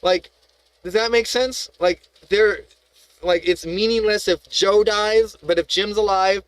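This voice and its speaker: A man with a fairly high voice hosts a live stream and talks in a heated, rambling rant. He swears freely, restarts his sentences and keeps throwing rhetorical questions at his viewers. The sound is dry and close, with no room around it. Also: fairly even intonation, a little slow, clean tone, no room sound, low-quality recording.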